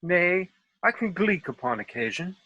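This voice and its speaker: A man's voice: sits high, very animated, unhurried, sharp tone, breathy edge, no echo, highs cut off, heavily acted. A man playing a foolish, self-assured but courteous rustic workman in a comic Shakespeare table read, with theatrical delivery over a video call. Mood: bemused, cocky.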